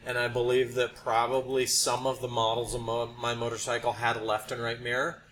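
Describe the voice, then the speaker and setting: Male podcast co-host, average pitch, casual wry storyteller, noticeable background noise. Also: flat delivery, brisk, fairly bright tone. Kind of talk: casual chat. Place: small room, podcast setup. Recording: noisy podcast recording.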